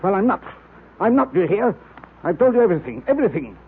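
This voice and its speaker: Nervy, petulant Egyptologist; high, husky voice, pleading old-time radio melodrama. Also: male voice, sing-song, quick pace, heavily acted. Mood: evasive, defensive. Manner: agitated, pleading, repeating himself. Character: frightened, secretive, petulant Egyptologist fearing a curse. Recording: muffled, tinny old radio recording.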